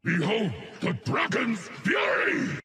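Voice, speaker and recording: A man's voice: high voice, very animated, takes it slowly, pushed and bright, heavily acted. A man voicing a menacing, merciless warrior villain for a fantasy video game. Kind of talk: video game character voice lines. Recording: long reverb on the voice.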